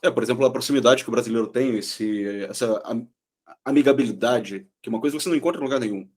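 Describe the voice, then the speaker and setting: A man's voice: mid-range voice, rapid-fire, darkish tone, slightly rough. Brazilian man, earnest podcast co-host. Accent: Brazilian Portuguese. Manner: earnest, ends points with "certo?". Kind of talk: casual interview chat.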